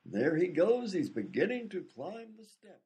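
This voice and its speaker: A man playing an excitable variety show announcer in a radio comedy, with showy, easily flustered delivery. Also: high-pitched, normal speed, exaggerated voice. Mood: grand, showy.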